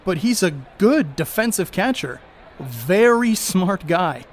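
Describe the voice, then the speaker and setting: A man plays a hard-living, jovial sports star in a period radio play. His voice sits fairly high and he talks in boastful, folksy slang. A faint outdoor traffic noise runs behind him. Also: sing-song, takes it slowly, heavily acted. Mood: genial, proud. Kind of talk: audio drama acting, 1930s setting.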